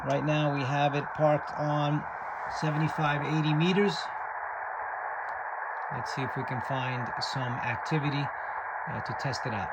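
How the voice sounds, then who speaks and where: A man giving a casual, unscripted hands-on product demo, halting and pausing as he goes. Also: fairly high pitch, steady tone. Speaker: radio hobby reviewer, video host. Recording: loud radio static and hiss behind. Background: shortwave radio noise.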